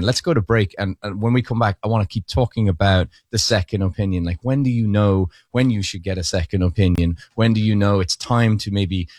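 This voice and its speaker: A man's voice: low voice, no echo, studio quality. A man co-hosting a financial radio talk show, talking casually with frequent restarts. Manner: rambling with restarts.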